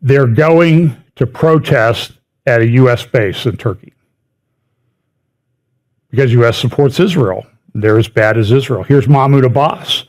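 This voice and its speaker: A man's voice: mid-range voice, a little slow, a little mellow. A middle-aged man giving a conversational lecture over slides, stressing words by repeating them and dropping in wry asides. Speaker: speaker giving a prophecy update talk.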